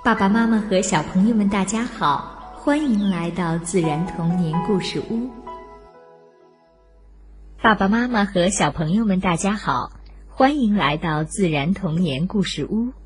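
A woman narrating a scripted children's story in an expressive, deliberate storyteller's manner, addressed to young listeners. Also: mid-range voice, soft background music.

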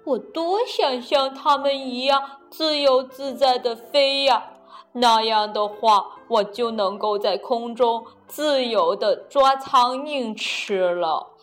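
A wide-eyed little frog boy from a children's storybook, high-pitched and slow, stretching his words in dreamy wonder, as a woman puts him on. Faint music plays softly behind him. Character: small cheerful male frog, dreamy and curious.

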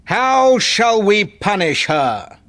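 A woman voicing a stern, commanding male musketeer leader with solemn, measured speech. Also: medium pitch, big pitch swings, fairly bright tone, voice pushed up. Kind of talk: audiobook character voice.

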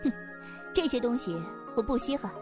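A woman's voice: fairly high pitch, moderate pace, lightly acted. A woman playing a seductive, proud female sect leader in a wuxia audio drama, with a coy, teasing manner. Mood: cool, aloof. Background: music.

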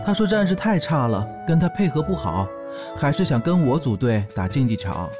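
Man playing lovesick little brother, animated delivery. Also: on the high side, normal speed, music in the background. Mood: sheepish, torn. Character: lovesick, indecisive young orc rogue little brother. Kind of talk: audio drama acting.

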